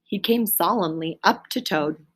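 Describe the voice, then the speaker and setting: A woman's voice: on the low side, a little slow. A woman reading a children's story aloud expressively, as a storyteller who explains things to a listener. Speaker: reader, storyteller.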